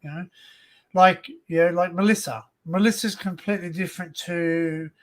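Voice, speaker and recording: An Australian man hosting a casual livestream chat, rambling and joking in an excitable way. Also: sits high, normal speed. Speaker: livestream host.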